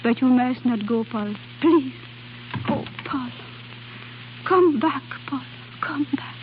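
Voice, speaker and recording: High-pitched, anguished young foreign woman. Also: animated. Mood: pleading, lovesick. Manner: dramatic, pleading, halting emotional delivery. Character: passionate, anguished young foreign singer, volatile and lovesick. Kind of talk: vintage radio drama acting. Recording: old radio broadcast, tinny band-limited, hiss.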